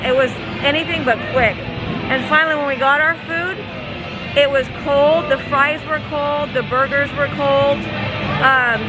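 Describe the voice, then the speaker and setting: A woman travel vlogger, a bit hoarse from losing her voice, chatting step by step through a theme park visit. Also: high-pitched, low-quality recording. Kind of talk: casual vlog narration. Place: busy theme park, indoors and out. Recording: crowded park noise with music. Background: crowd chatter and music.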